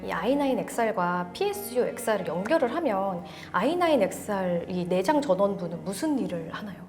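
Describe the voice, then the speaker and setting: A woman's voice: mid-range voice, sing-song, a little fast, darkish tone, soft background music. A woman co-hosting a conversational product talk and asking questions. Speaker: co-host.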